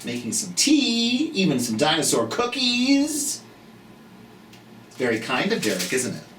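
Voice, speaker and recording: A storyteller of unclear gender hosting a children's storytime, theatrical and expressive, speaking gently and encouragingly straight to young viewers with drawn-out emphasis. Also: medium pitch. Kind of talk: animated children's read-aloud and gentle chat to young viewers. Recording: roomy echo, distant mic, light noise.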